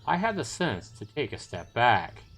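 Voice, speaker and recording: A man's voice: mid-range voice, very animated, normal speed, fairly bright tone, slightly rough, faint music behind. A middle-aged man narrating a visual novel on a casual livestream, mixing read text with commentary. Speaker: game streamer. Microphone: voice chat microphone.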